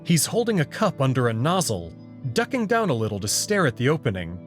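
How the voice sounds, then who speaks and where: A man reading expressive first-person narration for a visual novel story. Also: mid-range voice, brisk. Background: background music.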